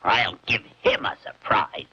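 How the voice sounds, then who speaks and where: A man acting out a wicked jack-in-the-box toy from an old cartoon, low and slow with a menacing snarl and a timbre unlike the narrator's. The old lo-fi recording sounds dull, with loud music underneath. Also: heavy background noise, natural voice. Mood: scheming. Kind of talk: vintage storybook cartoon voice acting.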